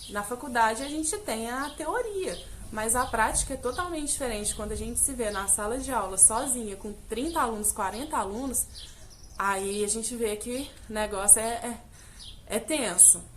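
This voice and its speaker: A woman's voice: a little high, moderate pace, fairly bright tone, slight background noise. A young woman from Brazil, an English teacher, telling her story in a casual vlog with lots of fillers, speaking straight to her viewers. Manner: chatty, direct address with many fillers.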